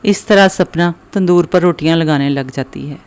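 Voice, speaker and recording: A man's voice: fairly high pitch, normal speed, clear, resonant tone. A man narrating a scripted moral story for children.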